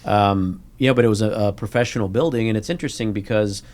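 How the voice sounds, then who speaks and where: A man's voice: on the low side, quick pace, darkish tone. Chatty male dentist hosting an interview. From Ohio. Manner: chatty, conversational. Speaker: dentist, podcast host. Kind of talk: casual interview talk.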